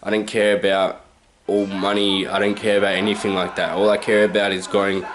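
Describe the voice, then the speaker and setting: A man's voice: sits low, little pitch movement, fairly quick, soft background music. A man in his twenties with an Australian accent, telling a casual, rambling story to his handheld camcorder at home, correcting himself and chuckling often. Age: 20s. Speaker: vlogger. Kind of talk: casual story-time monologue. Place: his own house, indoors.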